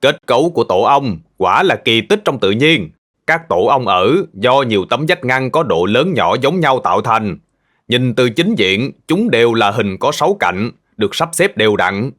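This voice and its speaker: A man voicing a friendly farm-owner uncle who patiently teaches children in an educational cartoon dub. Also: medium pitch. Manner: patient, explanatory, addressing children gently.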